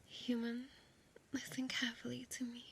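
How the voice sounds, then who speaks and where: A woman's voice: fairly high pitch, monotone, slight background noise. Woman playing a whispering, possessive female vampire. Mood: tender, coaxing. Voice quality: breathy whisper. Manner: slow, intimate, coaxing, formal old-fashioned phrasing. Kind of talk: ASMR audio roleplay.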